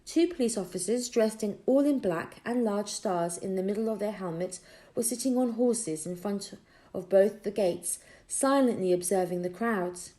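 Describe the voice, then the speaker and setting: Mellow woman reading a children's story. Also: mid-range voice, moderate pace. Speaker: audiobook reader. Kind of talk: expressive scripted children's story narration.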